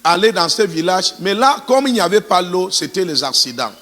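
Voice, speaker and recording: Male preacher, high voice, halting and emphatic, long hall reverb. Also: sing-song, fairly bright tone. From Cameroon, anglophone southwest. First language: English. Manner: halting, many restarts, emphatic appeals. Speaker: preacher giving testimony. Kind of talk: sermon testimony with interpreter.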